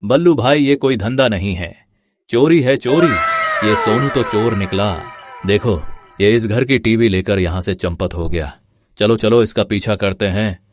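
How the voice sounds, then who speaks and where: A man's voice: on the low side, unhurried, noisy background, lightly acted. Man as a crafty, emphatic cartoon villager. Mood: shocked. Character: Chintu, crafty greedy male village sidekick. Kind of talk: Hindi cartoon moral story dialogue.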